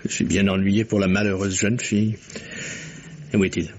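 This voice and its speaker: An actor playing a probing, sceptical investigator with dry irony in a vintage French radio mystery, asking clipped, wry questions. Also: male voice, lowish voice, flat delivery, moderate pace, a little mellow, a little hiss or hum, close to an everyday voice. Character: probing, sceptical male investigator, dryly ironic. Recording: dull vintage radio recording.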